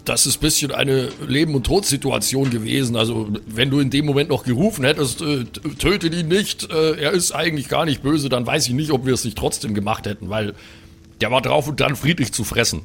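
A man's voice: mid-range voice, a little fast, creaky, fairly bright tone. A man improvising as a player in a tabletop role-playing game, talking casually and explaining things wordily. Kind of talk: improvised in-character talk and casual game chat.

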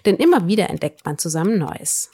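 A woman's voice: fairly low pitch, very animated, a little fast, mellow, slightly rough. Enthusiastic woman presenting book recommendations. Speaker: book podcast host and reviewer. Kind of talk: book recommendation, partly scripted, partly conversational.

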